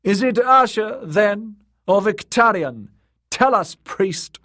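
A man voicing a fat, pale lord, loud and demanding, in a fantasy audiobook. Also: sits high, animated, slow, pitched above the performer's own voice, full character voice. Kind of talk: dramatised audiobook character voice.

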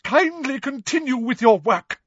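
A man voicing a theatrical older woman teacher in an audiobook, high-pitched and wavering, melodramatic and wounded. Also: lively intonation, a little slow, breathy edge, dry recording, highs cut off, exaggerated voice. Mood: outraged. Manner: melodramatic, self-pitying, grandly wounded. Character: hysterical, theatrical older female divination teacher.

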